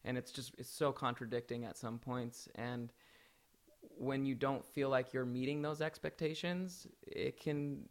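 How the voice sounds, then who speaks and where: Gravelly young man, hesitant talker. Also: medium pitch, steady tone, a little slow. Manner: hesitant, many restarts and fillers, laughs easily. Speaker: singer in a band. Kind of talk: casual interview answers.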